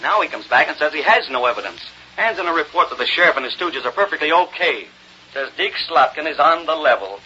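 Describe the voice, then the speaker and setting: An actor playing a brash, slangy, excitable newspaper reporter in a vintage radio drama. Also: male voice, on the high side, fast, heavily acted. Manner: wisecracking, slangy, punchy. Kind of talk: 1930s-40s radio acting. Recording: muffled old radio recording, faint noise.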